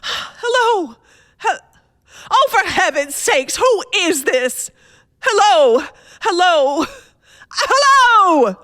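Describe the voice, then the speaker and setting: A nervous, bedridden wife in a radio thriller. She is fretful and demanding, drawing her words out theatrically in a slow, high voice. The sound is close and dry. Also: very animated, pushed and bright, no room sound, full character voice. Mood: frantic. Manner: fretful, imperious, theatrical, halting then insistent. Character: anxious, demanding bedridden wife, alone and frightened. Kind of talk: suspense radio play acting.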